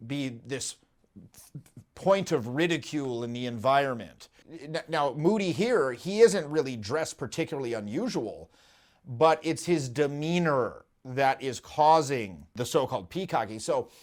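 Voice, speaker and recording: Animated storytelling man in a studio. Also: medium pitch, a little slow. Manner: animated storytelling, acts out quotes. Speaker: dating coach and host. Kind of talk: casual podcast chat. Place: dark studio, indoors.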